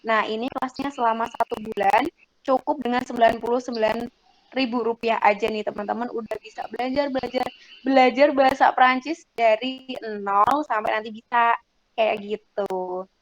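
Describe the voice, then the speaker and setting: A woman's voice: steady tone, normal speed, slight background noise, rough recording. Chatty woman promoting a class. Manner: chatty, upbeat sales pitch. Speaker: online class moderator. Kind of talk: casual promotional chat to students.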